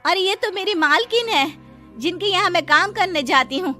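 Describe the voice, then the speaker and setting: A woman's voice: very high voice, lively intonation, moderate pace, sharp tone, soft background music, voice pushed up, exaggerated voice. A woman playing a humble, pleading poor maidservant wife in a dramatized story, speaking deferentially. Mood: surprised.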